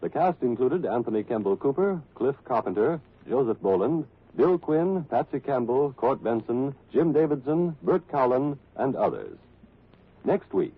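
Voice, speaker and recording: A man's voice: mid-range voice, sing-song, normal speed, slightly rough. A man working as a network radio announcer, reading scripted credits and promos formally in 1940s style. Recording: tinny, narrow old radio broadcast recording.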